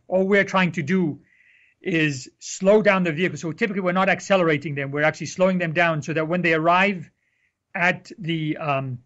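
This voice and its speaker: A middle-aged man from Egypt, a researcher answering interview questions in a measured, explanatory way with frequent ums. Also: a little high, fairly bright tone, highs cut off. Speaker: researcher guest.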